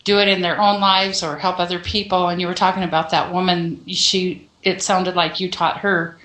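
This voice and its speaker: A woman's voice: fairly low pitch, level tone, moderate pace, a bit bright. A woman calling in to a show, chatting casually as she puts her questions to the host. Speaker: caller with questions. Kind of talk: casual call-in conversation. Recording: echoey call-in sound.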